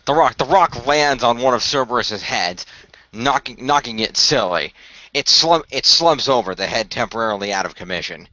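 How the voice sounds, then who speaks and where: Bright-voiced man improvising fantasy narration. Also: medium pitch, lively intonation, dry recording. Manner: halting, often repeats phrases as he improvises. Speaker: game master, co-host of a fan radio play. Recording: dull lo-fi voice recording.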